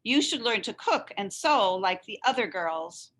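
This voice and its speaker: A woman's voice: medium pitch, animated, measured pace, fairly bright tone. A woman voicing scolding, disapproving townspeople in a storybook, nagging and admonishing.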